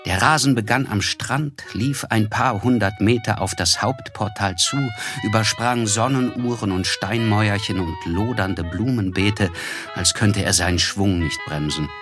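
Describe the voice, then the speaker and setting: A reflective young man, played by an actor, narrates a literary radio drama in a low voice. He is polite and observant, telling things in measured, descriptive phrases. Music is clearly audible under his words. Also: natural voice.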